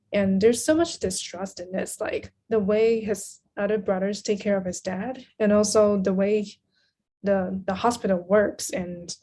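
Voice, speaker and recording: A woman's voice: medium pitch, dark tone. A woman guest on a podcast, discussing literature casually in a thoughtful, agreeable manner.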